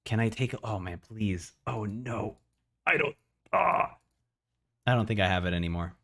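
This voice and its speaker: Guy streamer reacting, excitable, keeps repeating himself while he thinks, chatty. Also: fairly low pitch, a little slow, gravelly. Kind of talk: casual livestream chat.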